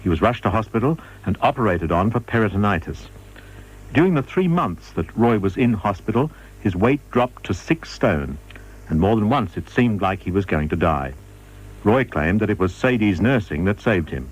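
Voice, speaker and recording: A man's voice: on the low side, expressive, moderate pace, slightly rough, low-quality recording. An Australian man narrating a scripted radio documentary in the role of presenter.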